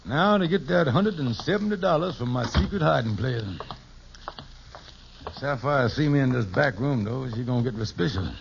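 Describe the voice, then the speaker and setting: An actor playing a scheming, hen-pecked husband in an old radio sitcom, with a wheedling, stammering, theatrical delivery. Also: male voice, medium pitch, animated, moderate pace, vocal fry. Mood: conspiratorial. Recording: noisy, dull old radio recording.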